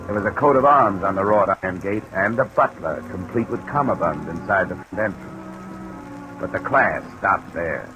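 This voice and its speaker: A man's voice: deep voice, expressive, moderate pace, muffled recording, music in the background. An American man playing a laconic, sardonic insurance investigator in an old-time radio drama. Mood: matter-of-fact narration. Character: dry, wry male freelance insurance investigator. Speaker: radio drama lead actor. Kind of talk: old-time American radio detective acting, narration and dialogue. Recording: old radio recording, faint crackly hiss.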